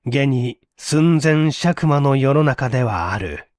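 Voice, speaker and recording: A man reading a literary mystery story aloud in an expressive, theatrical storytelling manner. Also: medium pitch, slow pace, no room sound, studio quality. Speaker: reader of audio stories.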